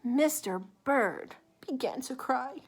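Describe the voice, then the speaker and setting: Female picture-book reader, high voice, very lively intonation, engages young listeners. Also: slightly rough. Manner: animated, deliberate, asks the listener questions. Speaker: children's story reader. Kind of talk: expressive picture-book read-aloud with asides to young listeners.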